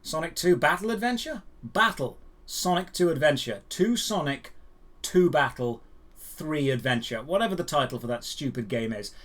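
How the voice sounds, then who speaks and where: A man with a slightly high voice presents gaming news as an opinionated host. His delivery is dry and emphatic, built on lists and rhetorical questions put to the listener. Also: expressive, moderate pace, fairly bright tone. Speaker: host of a daily geek and gaming news show.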